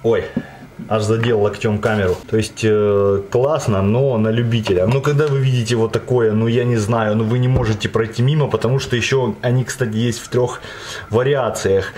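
Hoarse guy gabbing about a watch unboxing, mid pitch, bit of hiss behind. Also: fairly even intonation, moderate pace, a little mellow. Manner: chatty, enthusiastic, slangy and digressive. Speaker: watch reviewer. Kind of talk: casual unboxing review. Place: indoors at a table, voice from off camera.

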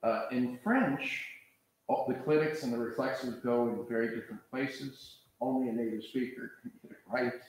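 A man's voice: mid-range voice, moderate pace. An older American man from the Pacific Coast gives a conversational, digressive lecture, pacing in front of a seated audience in a large lecture hall, picked up by a far camera. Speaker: professor leading a master class.

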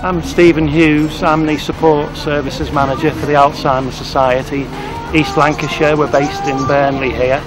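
A man's voice: a little high, little pitch movement, moderate pace, over music, poor audio. A man in his fifties introducing himself to camera outdoors. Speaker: charity support services manager. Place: outdoors in an open park.

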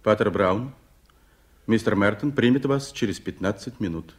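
Discreet, low-voiced secretary played by a man. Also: moderate pace, close to an everyday voice. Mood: formal. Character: efficient, discreet male secretary to a tycoon. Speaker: radio drama actor. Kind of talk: 1980s Soviet radio theatre acting.

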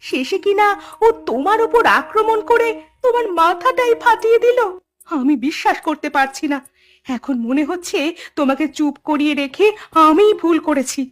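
A woman's voice: very high voice, animated, normal speed. A woman playing an anxious, caring fisherman's wife in a cartoon, with a worried, fretful manner. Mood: dismayed. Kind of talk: Bengali cartoon dub.

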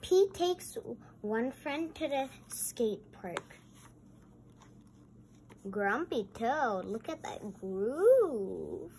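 A woman reading a picture book aloud and adding chatty, exclamatory comments in a halting way. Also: a little high, very animated, warm tone. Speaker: read-aloud host. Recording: noisy amateur home recording.

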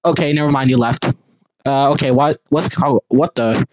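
A man's voice: medium pitch, normal speed, no room sound, highs cut off. A man casually doing an amateur fan dub of an animation, rambling and unserious, with half-hearted, silly ad-libs. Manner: rambling, silly, unserious ad-libbing with mock alarm. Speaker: amateur voice actor.

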